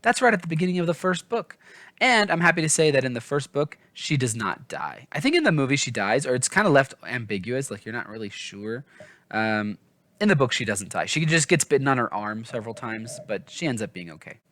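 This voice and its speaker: A man's voice: medium pitch, lively intonation, brisk, a bit bright, dry recording. A man talking casually as a book vlogger, informal and self-deprecating, often wandering off on side thoughts. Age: adult, father of small children. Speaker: book reviewer and vlog host. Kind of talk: casual, rambling book discussion.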